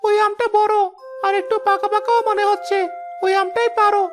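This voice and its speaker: An actor plays a hungry little boy in a cartoon story. The voice is very high and childlike, pleading and insistent with an older sister. Music plays clearly behind it. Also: flat delivery, normal speed. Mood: coaxing.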